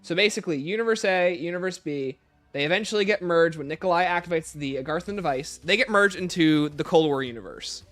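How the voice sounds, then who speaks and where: A man's voice: a little high, a little fast, fairly bright tone, soft background music. A man hosting a livestream, reading out chat questions and answering them informally while thinking aloud. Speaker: livestream host.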